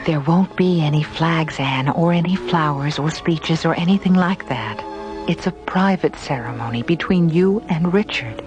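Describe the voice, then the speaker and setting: An actress playing a gentle, patient research director in a space radio drama. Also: female voice, low-pitched, normal speed, close to an everyday voice. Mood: gentle concern. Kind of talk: restrained radio drama acting. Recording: dull radio recording with music.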